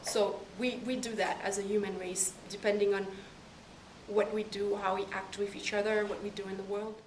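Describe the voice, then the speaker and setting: Young woman, thoughtful pauses, far from the mic, echoey room. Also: medium pitch, level tone, darkish tone, slight background noise. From Caribbean. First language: French. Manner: thoughtful, pausing between phrases. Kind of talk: interview answers.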